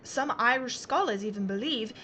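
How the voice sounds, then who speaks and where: A woman's voice: on the high side, lively intonation, normal speed, bright, forceful tone, slight background noise. A woman playing a confident aristocratic lady journalist in a wartime period drama, speaking with formal, genteel poise. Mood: composed, assured. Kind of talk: period audio drama acting.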